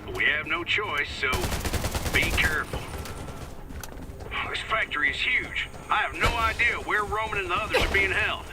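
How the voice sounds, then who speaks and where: Man doing folksy radio commander, calm instructions, somewhat high voice, game music behind. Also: moderate pace, recorded from a distance, close to an everyday voice. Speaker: radio handler guiding the player.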